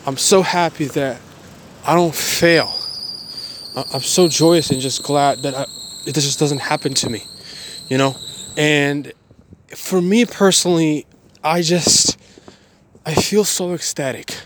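Young man, early twenties, slightly high voice, emphatic and repetitive motivational monologue, faint background noise. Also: takes it slowly.

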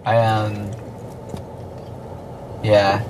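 Guy hosting a podcast, kinda low voice, stops and starts a lot, noisy background. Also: completely flat delivery, drawn-out words, a little mellow. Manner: hesitant, laughing, trailing off. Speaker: podcast host. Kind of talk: casual faith chat.

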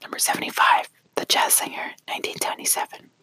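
A whispering ASMR creator softly reading a countdown list, hushed and unhurried, pausing to apologize for small slips. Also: no room sound. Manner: soft whispering, quietly acting out each quote before the title, apologizing for slips.

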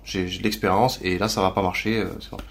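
A man's voice: deep voice, level tone, slight background noise. A young man chatting casually on a podcast with friends, who often acts out what other people say. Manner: quick, laughing, acts out others' lines. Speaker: podcast co-host. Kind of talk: casual chat.

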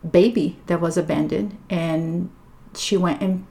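A woman with a Brazilian Portuguese accent, a podcast guest telling her life story in a thoughtful, unhurried way. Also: on the low side, fairly even intonation, vocal fry, dark tone. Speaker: guest, clinical social worker. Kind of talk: reflective personal storytelling. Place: living room of a home. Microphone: table microphone.

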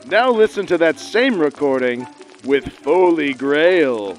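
Man doing a high-voiced 1940s radio pitchman. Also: lively intonation, slow pace, faint music behind. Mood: salesmanlike. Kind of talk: parody 1940s radio advertisement.

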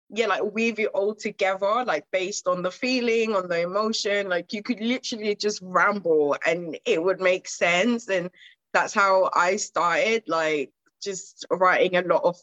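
A woman's voice: medium pitch, fairly bright tone, no room sound, muffled recording. A young woman from Zimbabwe in her twenties, talking about her poetry in a rambling, casual interview full of fillers. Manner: rambling, with frequent fillers and laughs. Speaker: guest poet.

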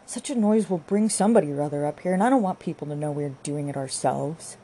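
A woman's voice: deep voice, lively intonation, fast talker, mellow. Woman acting a scolding young country wife, noisy recording. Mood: impatient, practical. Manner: scolding, bossy, rustic turns of phrase. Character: brisk, hard, scornful young farm wife. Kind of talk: audiobook character dialogue.

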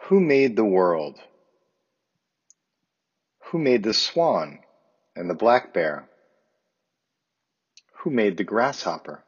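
A man reciting poetry for listeners in a measured, contemplative manner. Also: on the low side, sing-song, slightly rough, dull audio. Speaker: volunteer poetry reader, teacher.

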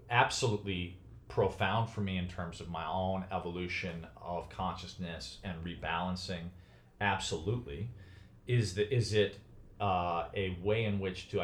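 A man's voice: low-pitched, a little hiss or hum. A man in his forties from Chicago, talking as a podcast guest in an earnest, thoughtful way and often restarting phrases as he searches for words. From United States (Chicago). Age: 40s. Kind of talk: reflective conversational interview. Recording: roomy echo.

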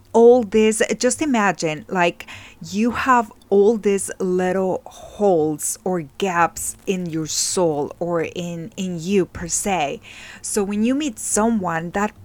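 A woman's voice: mid-range voice, dry recording. A middle-aged woman from Mexico, giving an earnest, reflective talk on healing and relationships, often asking "right?" as she goes. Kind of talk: casual motivational talk.

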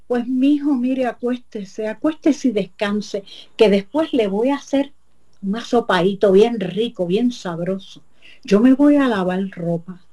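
A fussing country wife, played by a woman storyteller, mutters and reasons aloud with a Puerto Rican accent. Her voice sits a little higher than plain talk, warm and mellow. She is sharp-eyed and quick to suspect. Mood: soothing. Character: suspicious betrayed country wife.

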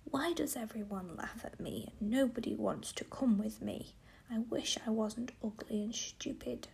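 A woman's voice: fairly high pitch, unhurried, creaky, a little mellow. A woman voicing a shy, lonely little male gnome in a hesitant, sad whisper.

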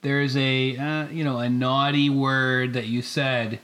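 Guy on a casual comedy podcast, average pitch, mild straight man, kinda hesitant, goes along with stuff. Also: little pitch movement, unhurried, fairly bright tone. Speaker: podcast co-host.

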